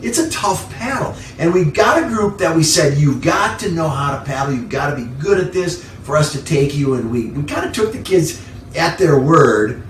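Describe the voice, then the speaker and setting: A man's voice: a little high, animated, normal speed. A man giving an informal sermon as a pastor, conversational, repeating key phrases for emphasis. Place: living room at home. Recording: echoey room, distant mic, faint noise.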